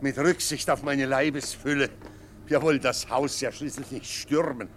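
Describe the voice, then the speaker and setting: An actor playing a jovial, portly medical official in a radio drama, pompous, amused and sarcastic. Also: male voice, mid-range voice, steady tone, normal speed, a little hiss or hum, natural voice. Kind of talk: German radio drama acting.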